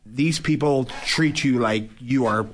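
A man's voice: medium pitch, measured pace. A man speaks as an athlete guest in a casual interview, conversational and often tagging phrases with "you know" and "right?". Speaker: guest, professional boxer.

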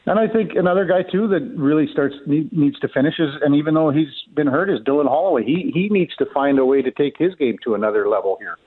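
A man's voice: a little high, animated, fast, hardly any pauses, breathy edge. A man giving folksy, conversational opinions as a guest commentator in casual sports radio talk, heard over a tinny, narrow line.

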